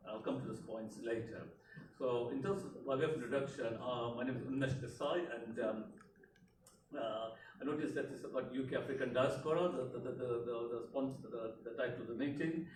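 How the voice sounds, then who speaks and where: A man's voice: medium pitch, a little fast. Middle-aged guy from tanzania giving a speech, lots of uhs and ums, echoey room. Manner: hesitant, many fillers and restarts. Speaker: elected politician speaking at a community event. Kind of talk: unscripted speech. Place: community event room. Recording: echoey room, mic far off, background noise.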